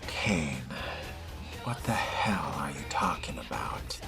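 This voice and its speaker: Music plays behind a low-voiced man acting as a skeptical young vampire in a game. His delivery is slow and dry, with sardonic swings up and down. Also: very animated, vocal fry. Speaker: player voicing his game character. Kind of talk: role-play dubbing of game dialogue. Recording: background music under the voice.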